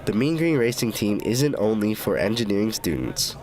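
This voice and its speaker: A young man narrating scripted student news reports as the reporter, in an even, measured delivery. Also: lowish voice. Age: young adult.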